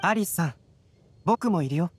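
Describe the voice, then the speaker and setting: A man playing a calm young male scholar in an anime game, measured, composed and explanatory. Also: high-pitched, a little hiss or hum. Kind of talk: Japanese anime game acting.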